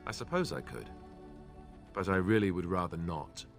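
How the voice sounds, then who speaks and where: A young warrior, lowish voice, earnest but hesitant, fantasy game, faint music. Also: male voice, expressive, moderate pace, vocal fry. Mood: reluctant. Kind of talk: fantasy video game acting.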